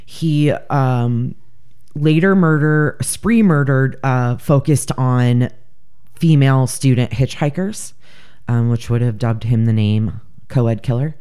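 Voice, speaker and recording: Woman podcast co-host, deep mellow voice, blunt and swears freely. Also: slow pace, clear, resonant tone. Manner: blunt, profane, joking. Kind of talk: casual true-crime chat.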